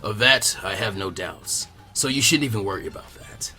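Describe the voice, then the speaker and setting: A man's voice: lowish voice, expressive, moderate pace, pushed and bright, soft background music, voice pushed down. A man voicing a grizzled, wry old warrior leader in an anime fan story. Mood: assured.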